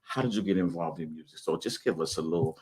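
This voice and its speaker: A man's voice: deep voice, fast, darkish tone, a little hiss or hum. A man hosting a casual gospel music interview, folksy and emphatic, often repeating his phrases. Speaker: talk show host.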